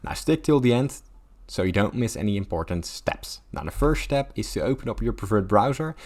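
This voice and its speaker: Man, lowish pitch, tutorial host, instructional step-by-step narration, software how-to.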